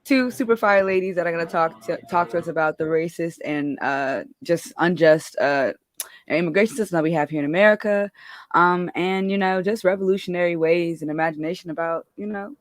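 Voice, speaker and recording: A woman's voice: lowish voice, fairly quick, no room sound. Chatty podcast host woman, dry sound. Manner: chatty, upbeat, many fillers. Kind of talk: casual podcast chat.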